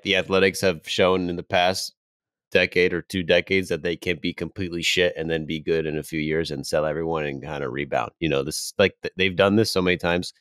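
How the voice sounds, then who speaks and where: A man's voice: sits low, a bit bright, no room sound, studio quality. A man on a sports podcast panel, chatting casually.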